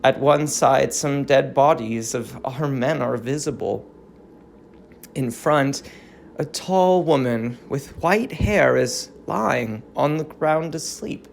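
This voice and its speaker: A narrator reading out a play's cast and stage directions in a measured, deliberate, creaky voice. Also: mid-range voice, slight background noise. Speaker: narrator and reader of a play.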